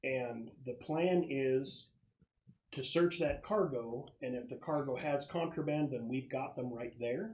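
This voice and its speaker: A man's voice: mid-range voice, a little slow, darkish tone, dull audio, close to an everyday voice. A man playing a plain-spoken military superior briefing a squad of marines in a tabletop game. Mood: firm briefing.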